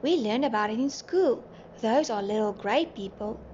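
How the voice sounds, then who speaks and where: A woman's voice: on the high side, animated, normal speed, darkish tone, natural voice. A woman playing an eager, knowing little schoolboy in a children's storybook. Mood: excited, knowing. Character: eager, bright little schoolboy explaining things. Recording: dull lo-fi, faint background noise.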